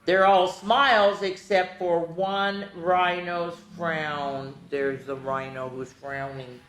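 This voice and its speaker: A mature woman reads picture books aloud to young children in a roomy, echoing space. Her pace is slow, with key words drawn out. She is animated and often breaks off to speak straight to her young listeners. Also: fairly low pitch. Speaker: children's storytime host. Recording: roomy, echoey room sound.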